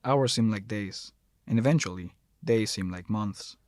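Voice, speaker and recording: Low-voiced man narrating an audio drama. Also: unhurried, no echo, studio quality. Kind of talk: scripted dramatic story narration.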